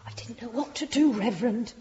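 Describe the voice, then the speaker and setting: An actress playing a fussy, deferential, pious church housekeeper in a period radio drama, polite and easily flustered. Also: female voice, on the high side, a little fast, mellow, close to an everyday voice. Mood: flustered, alarmed. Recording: reverberant church, slightly dull sound.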